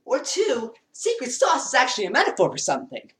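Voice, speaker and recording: Teen boy, comic vlogger, bouncy high delivery, distant from mic. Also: huge pitch swings, a little fast, fairly bright tone. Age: teens. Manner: rambling, jokey, acts out scenes while moving around. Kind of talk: comedic parody storytelling. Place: small basement room, indoors. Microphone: built-in webcam mic across the room. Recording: roomy and distant.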